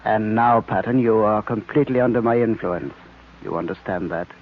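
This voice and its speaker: Actor as a low-voiced, commanding villainous hypnotist. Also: male voice, natural voice. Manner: slow, deliberate, domineering. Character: commanding male villain, a sinister hypnotist mastermind. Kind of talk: old-time radio serial acting. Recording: muffled, noisy vintage radio recording.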